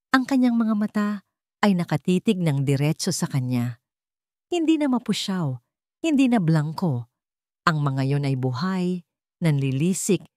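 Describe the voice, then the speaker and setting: A Filipino woman narrating a scripted dramatic story in a measured, emotive way with deliberate pauses. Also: low-pitched, mellow, dry recording, clean studio sound.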